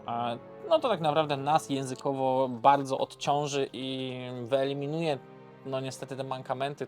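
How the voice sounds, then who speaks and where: A man with a Polish accent giving a casual, unscripted board game review, hesitant and rambling as he corrects himself. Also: mid-range voice.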